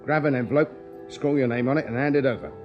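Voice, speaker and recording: An actor playing a shrewd Victorian police sergeant who talks in a dry, clipped, sardonic way. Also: male voice, mid-range voice, quick pace, highs cut off, over music, lightly acted. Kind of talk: 1980s radio drama acting.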